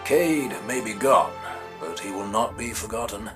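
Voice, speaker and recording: Casting: male actor as affectionate game comrade, lowish voice, unhurried, music behind. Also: lightly acted. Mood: fond. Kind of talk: scripted video game voice acting.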